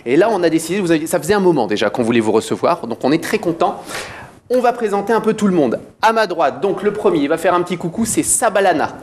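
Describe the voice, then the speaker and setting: A man's voice: high voice, sing-song, fast, a little hiss or hum. A man presenting a chat show on a studio set in a playful, teasing and theatrical way. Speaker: talk show host.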